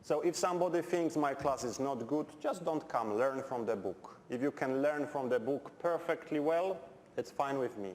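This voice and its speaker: A man's voice: mid-range voice, expressive, normal speed, darkish tone. A middle-aged Polish man with a Polish accent, a non-native English speaker giving a university chemistry lecture in a blunt, direct way with dry humour. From Poland. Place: lecture hall. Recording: echoey lecture hall, faint background noise.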